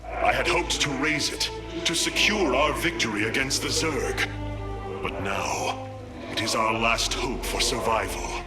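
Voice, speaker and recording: A man's voice: medium pitch, a little slow, music in the background, lightly acted. A man playing a resolute alien warrior leader in a video game, with a stately, solemn, commanding manner. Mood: grave. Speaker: military commander.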